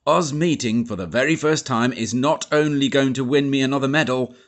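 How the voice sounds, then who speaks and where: A man's voice: mid-range voice, a little fast, voice pushed up, natural voice. A man voicing a pompous, blustering middle-aged army major who sneers and splutters, in an audiobook. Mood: boastful. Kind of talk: audiobook character voice.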